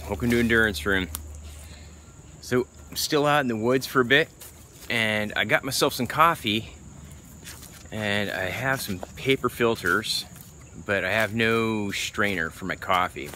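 Man, 30s, relaxed bushcraft vlogger, low-pitched and bright, camera close, forest outdoors. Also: measured pace, long pauses, a little hiss or hum. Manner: easygoing, rambling with restarts. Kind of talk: casual outdoor how-to vlog. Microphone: camera held close at arm's length.

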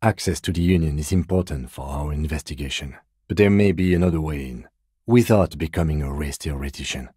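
Tired deadpan cop for a video game, super deep, husky, restrained and formal. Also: male voice, fairly quick, no room sound, clean studio sound. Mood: dry, composed. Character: weary, dry, by-the-book male police detective.

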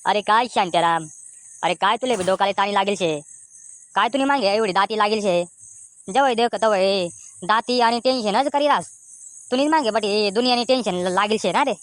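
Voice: mid-range voice, normal speed, no echo, natural voice. A performer voicing a blunt, irritable village man in a comedy cartoon, with a Khandeshi Ahirani accent. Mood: teasing concern.

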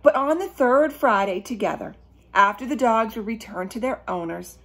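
A middle-aged woman reading a children's book aloud, animated and emphatic, breaking off to question her young listeners. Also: on the high side, moderate pace. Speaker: storybook reader for children. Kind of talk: children's read-aloud with asides. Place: small room at home, indoors.

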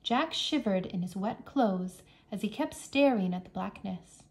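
A woman teacher narrating a children's story aloud in a careful, expressive storytelling manner. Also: medium pitch, a little slow. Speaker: English teacher reading aloud.

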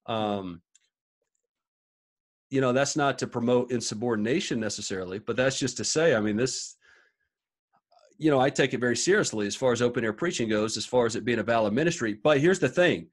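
A man's voice: medium pitch, fairly quick. Man musing on a video call. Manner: thoughtful, restarts and repeats words while reasoning aloud. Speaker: podcast co-host. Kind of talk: casual discussion on a video call. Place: small home study with bookshelves.

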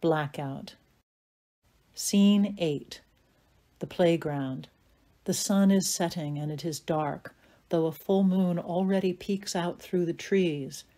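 A woman's voice: lowish voice, slow pace, dark tone. A woman reading stage directions as scripted narration for an audio play.

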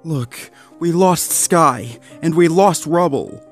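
A nervous, soft-hearted young male pup, pitched well up and pleading in a whiny, strained way, as a man puts him on for a story reading. Faint music sits behind the voice. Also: sing-song, unhurried. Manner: pleading, whiny, higher raised voice. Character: anxious, gentle young male mixed-breed dog.

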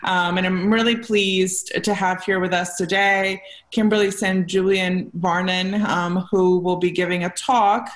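A woman's voice: lowish voice, level tone, a little slow. A young adult woman hosting, introducing a guest speaker over a video call with many fillers. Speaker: lecture series host. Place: room at home, indoors. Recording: echoey room on a video call.

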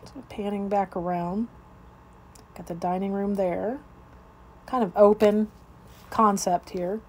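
A woman's voice: medium pitch, steady tone, takes it slowly, darkish tone, slight background noise, rough recording. A woman real estate agent narrating an informal, unscripted walkthrough of a home, describing each room as she moves through it. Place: indoors, moving through rooms of a house.

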